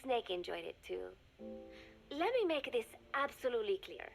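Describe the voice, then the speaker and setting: An actress voicing an earnest young woman reading her diary aloud in a video game, calm and reflective. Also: on the high side, moderate pace, gravelly, faint music behind. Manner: calm, reflective, softly spoken. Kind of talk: game audio diary narration.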